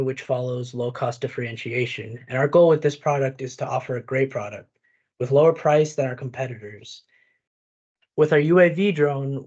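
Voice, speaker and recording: A man delivers a prepared business presentation. His voice sits at a middling pitch. The recording is dull and lo-fi, lacking crispness in the sound. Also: darkish tone. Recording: dull lo-fi audio.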